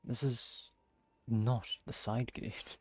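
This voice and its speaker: A man's voice: medium pitch, flat delivery, extremely slow, slightly rough. A man giving casual gameplay commentary, musing aloud to himself in short reactions. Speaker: gamer playing through a story quest. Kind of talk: casual game playthrough commentary.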